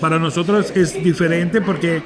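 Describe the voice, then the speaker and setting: High-voiced man hosting amid crowd. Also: steady tone, normal speed. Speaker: podcast host. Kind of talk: casual podcast chat. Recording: crowd noise behind.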